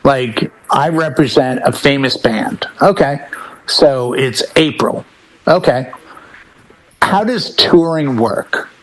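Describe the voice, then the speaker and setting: A man co-hosting a casual panel chat, talking in an animated storytelling way. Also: mid-range voice, takes it slowly, rough recording. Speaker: podcast co-host.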